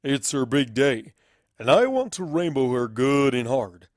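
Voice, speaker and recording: A man's voice: medium pitch, big pitch swings, measured pace, no echo, clean studio sound. A man voicing a spry, jovial old unicorn wizard with a grandiose way of talking. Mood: mischievous, matter-of-fact.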